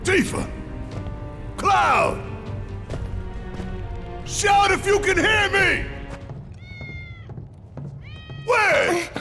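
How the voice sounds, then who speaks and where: An actor playing a gruff, burly, loyal resistance fighter in a video game cutscene, speaking in blunt, clipped sentences. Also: male voice, very high voice, expressive, drawn-out words, over music, exaggerated voice. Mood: urgent, calling out.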